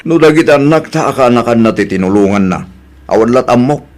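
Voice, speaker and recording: Exasperated husband, played by a man, Ilocano accent, medium pitch, blunt and reproachful, domestic drama. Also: animated, a little fast, a little mellow, lightly acted. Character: exasperated husband, blunt and chiding his wife. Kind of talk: Ilocano comedy drama dialogue.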